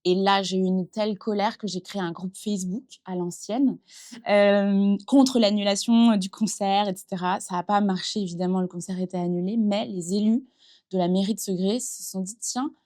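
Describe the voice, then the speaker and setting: Woman, 30, from western France, earnest, reflective, middling pitch, casual interview guest. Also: normal speed. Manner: earnest, reflective, steady flow with frequent fillers. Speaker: entrepreneur guest. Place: improvised studio in a concert hall dressing room.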